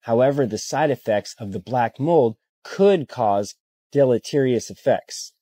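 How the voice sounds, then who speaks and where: Male short-video creator, 30s, mellow and dry, assertive. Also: mid-range voice, lively intonation, a little slow, breathy edge, no echo. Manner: assertive, warning. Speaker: social media creator. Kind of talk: commentary.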